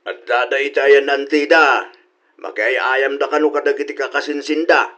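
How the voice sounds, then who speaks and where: A man's voice: medium pitch, steady tone, moderate pace, pushed and bright, close to an everyday voice. Man acting an easygoing older Ilocano husband. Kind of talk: comedy radio drama acting.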